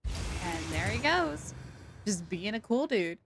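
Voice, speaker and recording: A woman live streamer giving casual, playful, animated commentary on a game. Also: medium pitch, slow, background noise. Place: indoors at home. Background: faint game music.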